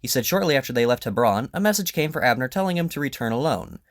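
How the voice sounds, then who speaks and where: Man acting a brisk, animated, confident detective. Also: mid-range voice, no echo, clean studio sound, pitched above the performer's own voice. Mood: confident, expository. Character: brisk, confident male detective, incisive and commanding. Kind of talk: audio drama acting.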